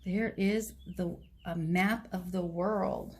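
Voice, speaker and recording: A woman reading a picture book aloud to children, with gentle, simple explanations along the way. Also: fairly low pitch, steady tone, takes it slowly, a little hiss or hum. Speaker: children's story reader.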